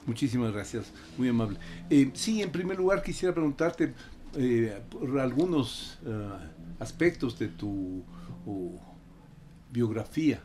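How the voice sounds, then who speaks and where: A man hosting an academic radio interview in a courteous, halting manner, searching for his words. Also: mid-range voice, lively intonation, mellow. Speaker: radio program host and interviewer.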